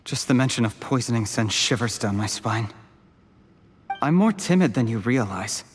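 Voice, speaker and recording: Game role male actor: timid young poisoner, mid-pitched, soft-spoken and deferential. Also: lively intonation, normal speed, slight background noise, natural voice. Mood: nervous, meek. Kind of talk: video game voice acting.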